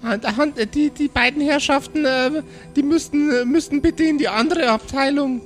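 A man's voice: very high voice, moderate pace, soft background music. A man voicing a flustered little childlike cherub messenger who stammers, repeats himself and defers to his boss in an improvised audio drama. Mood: flustered, apologetic.

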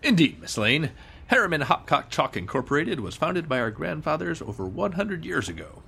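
A man's voice: fairly high pitch, sing-song, normal speed, gravelly, pushed and bright, slightly rough, exaggerated voice. A man playing an earnest, slightly evasive businessman under suspicion, formal and hammy, in old-time radio mystery style. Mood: proud, formal.

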